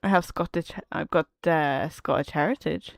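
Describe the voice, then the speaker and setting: A young woman with a Geordie accent chats fast and easily as she streams a game. Her voice sits a little low, and the sound is close and dry, with no room around it. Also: vocal fry, no echo. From North East England. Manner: chatty, quick-talking, laughs often. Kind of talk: casual chat while gaming.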